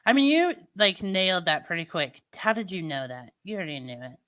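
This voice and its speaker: Slow-drawling St. Louis woman. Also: fairly low pitch, big pitch swings, fairly bright tone. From Midwestern United States. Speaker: streamer chatting with viewers. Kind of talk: casual chat.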